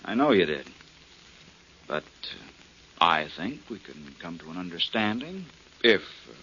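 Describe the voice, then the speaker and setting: A shrewd, insinuating doctor in vintage radio crime drama. The voice is fairly low and forceful, with animated swings in pitch. The recording is dull and lo-fi, from the 1940s. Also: male voice, slight background noise, full character voice. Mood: sly, insinuating. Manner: insinuating, deliberate bargaining. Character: shrewd doctor posing as a bargaining blackmailer.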